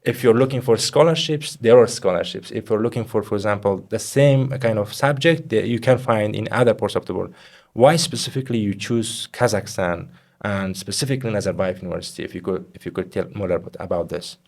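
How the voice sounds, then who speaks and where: Male podcast host, low register, quick friendly delivery. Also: creaky, darkish tone. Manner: friendly, asks questions. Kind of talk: podcast interview.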